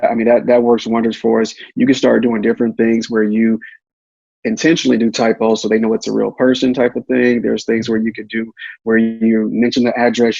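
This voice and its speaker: A man's voice: mid-range voice, vocal fry, a little mellow, husky, dry recording, dull audio. A man giving tips as an interview guest in a casual, repetitive way, often checking in with "right?". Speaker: guest, real estate investor.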